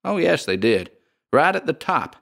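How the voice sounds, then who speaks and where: A man voicing a coolly unbothered landlady of a haunted boarding house, with a metallic voice and a slow folksy drawl. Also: a little high, sing-song, vocal fry, fairly bright tone, pitched above the performer's own voice, full character voice.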